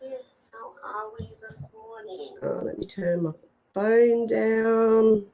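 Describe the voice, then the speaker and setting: A woman's voice: fairly high pitch, slow pace, mellow, a little hiss or hum, poor audio. A woman hosting a live online show, chatting casually with viewers while getting over a cold, her voice stuffed up, with lots of fillers and small laughs. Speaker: host. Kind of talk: casual live-stream chat.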